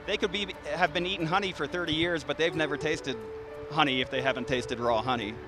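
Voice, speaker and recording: Brief: thirties male beekeeper, slightly high voice, easygoing and halting, handheld mic outside, soft music underneath. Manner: relaxed, frequent restarts and fillers. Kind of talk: casual explanation to an interviewer. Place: outdoors by beehives in a garden. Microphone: handheld interview mic.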